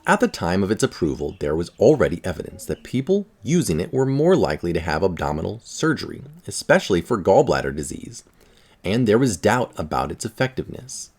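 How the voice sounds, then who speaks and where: Low-pitched man reading an article aloud. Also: very animated, brisk, a little mellow, slightly rough. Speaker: article reader.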